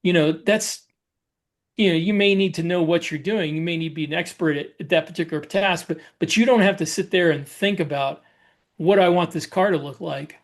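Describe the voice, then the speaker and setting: Man, 50s, slightly high voice, podcast co-host, casual explanatory talk. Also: fairly quick. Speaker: podcast co-host, fiction writer. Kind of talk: casual chat, explaining by analogy.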